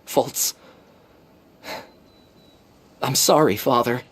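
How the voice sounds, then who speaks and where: A man voicing a young man who calmly accepts punishment for breaking the rules. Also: medium pitch, drawn-out words, husky, heavily acted. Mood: resigned. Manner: resigned, calm. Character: young man, resigned accused rebel.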